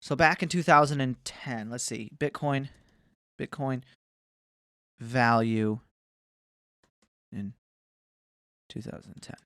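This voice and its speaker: Brief: man in his twenties, casual rambling vlog rant, heavy swearing, smooth clean tone, close and dry. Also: medium pitch, takes it slowly, long pauses, bright, forceful tone, no room sound. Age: 20s. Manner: profane, rambling, swears often; mocking, bragging, jumps between asides. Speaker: vlogger.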